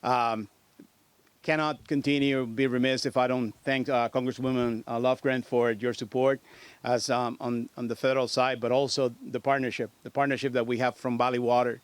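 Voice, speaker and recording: A man in his fifties from Puerto Rico with a Puerto Rican accent, a state agency director giving a halting public speech full of fillers and restarts at a podium microphone. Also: mid-range voice, flat delivery, clean tone, dry recording. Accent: Puerto Rican Spanish. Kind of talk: public speech at a news conference.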